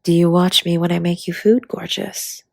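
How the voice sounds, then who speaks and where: A woman playing a flirty, doting dragon baker spouse in an intimate romantic audio roleplay, speaking in a husky, breathy, teasing way that often drops to a whisper. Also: fairly low pitch, little pitch movement, normal speed, darkish tone, no room sound, clean studio sound. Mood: flirty, tender.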